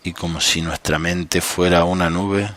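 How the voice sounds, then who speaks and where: Really deep male voice, slow calm meditation guide, bit of nature noise behind. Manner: calm, unhurried, leaves long pauses. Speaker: meditation coach.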